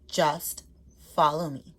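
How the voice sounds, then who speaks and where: A woman voicing a cunning, boastful male fox in a read-aloud storybook, calm and well-spoken. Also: low voice, slow pace, slightly rough, heavily acted. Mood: confident.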